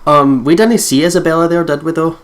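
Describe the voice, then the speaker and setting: A woman's voice: low-pitched, brisk, noisy background. A Scottish woman hosting a let's play, reading a visual novel aloud and joking between lines with a giggly manner. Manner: chatty, giggly, mimics lines.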